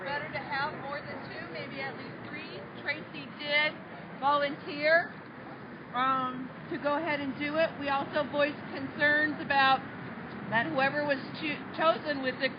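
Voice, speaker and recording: Loud high-voiced woman at an outdoor meeting. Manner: loud, plain and matter-of-fact. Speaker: group spokesperson at a meeting. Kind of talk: casual report at a public assembly. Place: outdoors at a street protest assembly. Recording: muffled phone audio with street noise.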